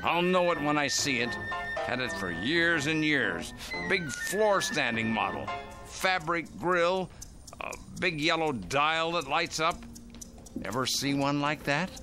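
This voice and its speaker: A man's voice: fairly high pitch, expressive, slow pace, over music. An actor playing a stubborn older man in a vintage radio drama, commanding and indignant. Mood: insistent. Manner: indignant, commanding, then overjoyed shouting. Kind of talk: 1950s radio drama acting.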